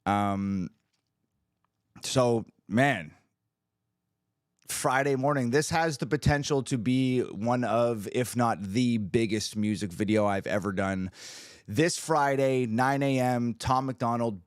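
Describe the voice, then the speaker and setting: A man hosting his own livestream, a musician chatting casually with viewers, upbeat and hyped, his voice a little raspy from screaming the night before. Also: medium pitch, no room sound, studio quality. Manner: upbeat, hyped, talks to the chat.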